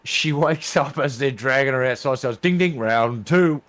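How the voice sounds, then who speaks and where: A man's voice: mid-range voice, moderate pace, fairly bright tone, dry recording. A man co-hosting a podcast with crude, emphatic comic commentary, often repeating words for effect. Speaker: podcast co-host. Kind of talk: casual comic commentary banter.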